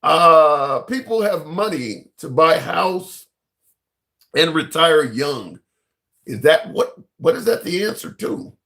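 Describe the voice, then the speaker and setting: A man's voice: a little high, animated, takes it slowly, gravelly, sharp tone. Rambling livestream host, a man. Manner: talks to viewers and callers directly, rambling and emphatic, swears casually. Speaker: livestream political call-in host. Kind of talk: casual call-in talk show.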